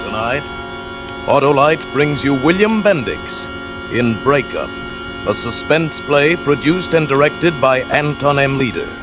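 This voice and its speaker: Male announcer over loud dramatic music. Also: medium pitch, lively intonation, measured pace. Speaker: radio show announcer. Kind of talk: dramatic 1940s radio show introduction.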